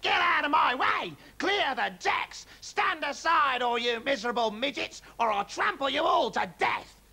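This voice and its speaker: A man's voice: sits high, big pitch swings, takes it slowly, vocal fry, breathy edge, voice pushed up, full character voice. A man voicing a bossy, bad-tempered ancient grandmother who shrieks her orders. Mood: shrill bossy. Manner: screeching, shouted insults.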